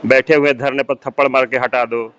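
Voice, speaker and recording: A man's voice: mid-range voice, level tone, moderate pace, fairly bright tone, smooth. A man working as a news interviewer, putting questions to people in a street interview on a dull recording. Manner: frequent short affirming interjections while listening. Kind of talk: street interview at a protest sit-in. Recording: dull, lo-fi audio.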